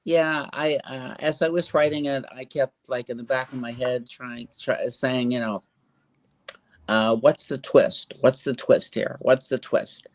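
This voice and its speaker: A man's voice: mid-range voice, steady tone, unhurried, dry recording. An older man, an author in a casual interview, talking in a rambling, hesitant way with lots of fillers and restarts.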